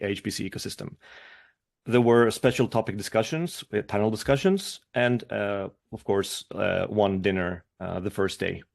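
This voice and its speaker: Greek-raised guy presenting on a video call, kinda gravelly, lots of uhs and restarts. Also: lowish voice. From Greece. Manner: hesitant, many fillers and restarts. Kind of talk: webinar presentation.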